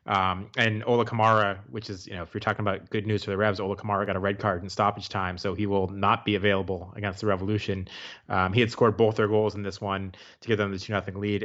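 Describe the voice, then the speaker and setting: A man co-hosting a soccer podcast, talking quickly in casual chat with frequent false starts. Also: on the low side, a bit bright. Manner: fast, with repeated false starts. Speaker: podcast co-host. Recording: dull lo-fi sound.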